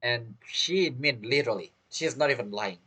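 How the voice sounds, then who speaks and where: A man's voice: medium pitch, moderate pace, bright, forceful tone, breathy edge. A man giving casual gameplay commentary as a streamer, reacting briefly to the dialogue.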